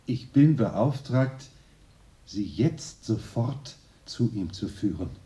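An actor playing a deferential envoy of a lamasery in a radio drama, speaking formally and deliberately. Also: male voice, on the low side, lively intonation, mellow. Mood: awed, formal.

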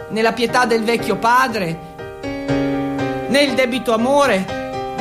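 A man playing a timid but excitable young dreamer, repeating himself and declaiming earnestly in a theatrical monologue. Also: extremely high pitch. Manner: halting, repetitive, breaking into declamation.

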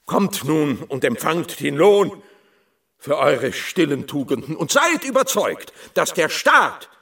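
An actor playing a pompous official giving a bombastic, declamatory public speech to farmers in a radio drama. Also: male voice, on the high side, sing-song, slow pace, creaky, fairly bright tone, exaggerated voice. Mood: grandiose, patronising. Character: pompous male official orator addressing farmers. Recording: distant, off-mic public address.

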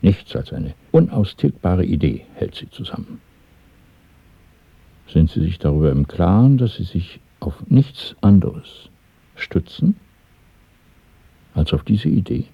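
A grave underground recruiter in a radio play. His voice is very low and husky, with a formal, deliberate, interrogating manner. The recording is thin and narrow, with a very dry sound. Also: big pitch swings, dry recording, exaggerated voice. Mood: grave, sober. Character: grave, authoritative male conspirator recruiting for a secret organisation. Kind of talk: 1970s German radio drama acting.

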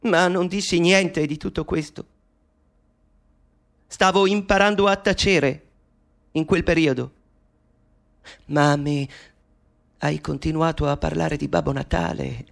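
A man's voice: on the high side, steady tone, a little slow, gravelly, pauses often. An actor playing a young mathematician in a radio drama, writing to his mother in an intimate, playful, nervously eccentric monologue. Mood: reflective. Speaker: actor playing Alan Turing. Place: studio.

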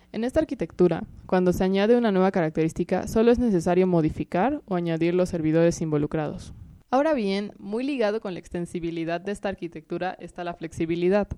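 A young woman as guest expert, giving a measured, lecture-like scripted explanation that lists points one by one. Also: on the low side, warm tone, no room sound, studio quality.

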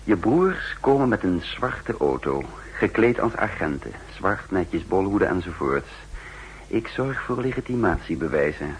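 An actor playing a cool, commanding spymaster and double agent in a 1960s Dutch radio drama, speaking in an assured, deliberate way. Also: male voice, low-pitched, sing-song, a little hiss or hum, poor audio. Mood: brisk, commanding.

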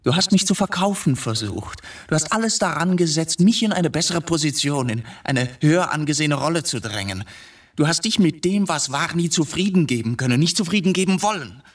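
An actor in a radio drama playing a brooding middle-aged journalist who talks evasively, philosophically and with bitterness. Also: male voice, a little high, animated, a little fast. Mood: bitter, reproachful. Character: brooding middle-aged journalist confessing to killing his wife.

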